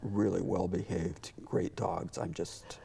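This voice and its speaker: A man talking casually as an interview guest, in a halting, conversational way. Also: low-pitched, flat delivery, creaky, mellow, a little hiss or hum. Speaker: interview guest, community theater director.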